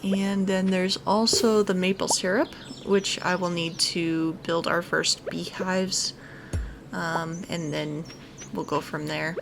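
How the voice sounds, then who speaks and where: A woman's voice: fairly low pitch, steady tone, slow pace, gravelly. A woman giving casual let's play commentary as the host of a gaming video. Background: music.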